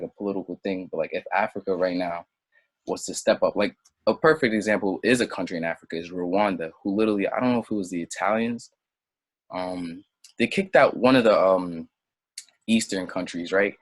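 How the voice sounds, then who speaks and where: Deep husky young man, rambling. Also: brisk, dry recording. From Senegal. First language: French. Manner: rambling and self-doubting, drifting into impassioned digressions. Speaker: interview guest. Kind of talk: casual interview conversation.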